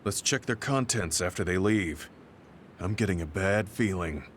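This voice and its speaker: A man playing a stoic, skeptical veteran soldier in a video game, with a grave, measured delivery. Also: sits low, a little hiss or hum, natural voice. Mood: suspicious, grave. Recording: music behind dialogue. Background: game soundtrack music.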